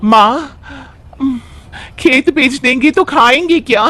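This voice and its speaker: A man's voice: very high voice, slow, bright, forceful tone, voice pushed up, heavily acted. A man voicing a determined young village daughter-in-law, earnest, respectful and dutiful. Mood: concerned.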